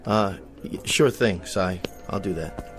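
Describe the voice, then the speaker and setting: Low-voiced, flustered cowboy star. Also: male voice, takes it slowly, soft background music. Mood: uneasy. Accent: American. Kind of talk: radio drama acting.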